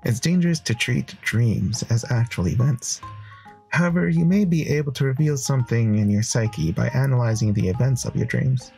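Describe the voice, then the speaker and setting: A wise, calm counselor acted by a man from a visual novel speaks formally and probingly. The delivery is measured, at medium pitch, over music. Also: creaky, lightly acted. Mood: analytical.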